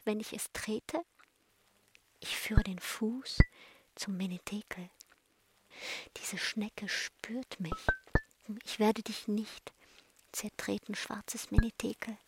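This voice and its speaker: Woman poet, musing and self-addressed spoken word, leisurely delivery, strong music bed underneath. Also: medium pitch. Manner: slow, musing, talking to herself. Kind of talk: improvised spoken-word poetry while hiking.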